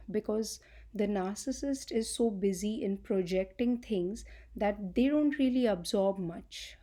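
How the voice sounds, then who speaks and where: Young woman, slow unhurried delivery, warm mellow tone, soft-spoken, plenty of fillers, no mic. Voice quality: low-pitched voice by her own account. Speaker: channel host and healing coach. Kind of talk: casual talk to camera. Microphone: no microphone, recorded on device.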